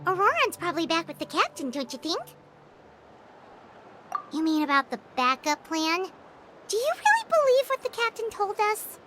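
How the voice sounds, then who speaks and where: A woman voicing a small, cheerful, childlike companion creature in a video game, chirpy and expressive. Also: extremely high pitch, moderate pace, pauses often. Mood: pondering. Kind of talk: English video game voice acting. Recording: game music behind the voice.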